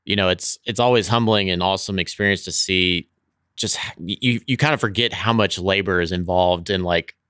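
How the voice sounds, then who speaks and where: Chill co-host guy, low smooth voice, jokey casual podcast chat. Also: fairly quick, fairly bright tone, dry recording, clean studio sound. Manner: laid-back, joking, lots of "like".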